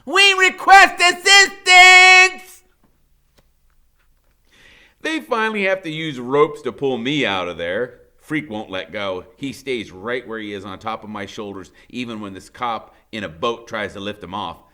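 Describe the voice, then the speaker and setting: A man's voice: lowish voice, lively intonation, moderate pace, few pauses, a bit bright. A man reading a novel aloud as dramatic first-person narration, emphatic and suspenseful.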